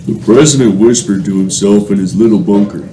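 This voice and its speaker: A man's voice: fairly low pitch, brisk, warm tone, close to an everyday voice. A man narrating a radio play in a scripted, dramatic storytelling style. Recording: echoey room, faint noise.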